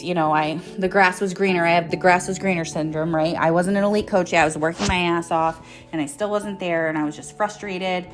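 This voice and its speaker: A woman in her thirties talks with a slightly low voice over faint music. She delivers a casual personal monologue like a motivational speaker among friends. Her manner is candid and rambling, with free swearing. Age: 30s. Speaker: host, author and motivational speaker.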